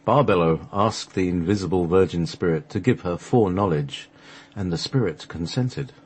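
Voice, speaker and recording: A man narrating a sacred text for a radio play, halting at times as he reads from the script. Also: sits low, a little mellow, breathy edge. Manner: halting, stumbles over the script and restarts.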